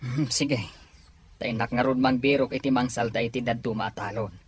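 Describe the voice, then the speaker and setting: A woman's voice: extremely low pitch, fairly even intonation, brisk, husky. A woman voicing a resigned old farming father in an Ilocano audio drama, with a Filipino Ilocano accent.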